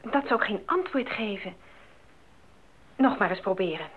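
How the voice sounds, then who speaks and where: An actress playing a worried radio operator in an old radio drama, speaking deliberately on a tinny recording. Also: female voice, medium pitch, expressive, close to an everyday voice. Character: worried female radio operator at mission post.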